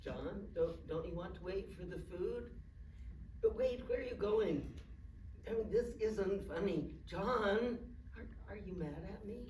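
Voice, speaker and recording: Hesitant, forgetful old wife played by an actress, echoey. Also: female voice, medium pitch, expressive, a little mellow, lightly acted. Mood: confused, pleading. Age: older. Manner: hesitant, halting, stammering. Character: frail old wife, forgetful, anxious and tender. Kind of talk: staged play reading. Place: live staged reading in a room. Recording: echoey room, distant mic, faint noise.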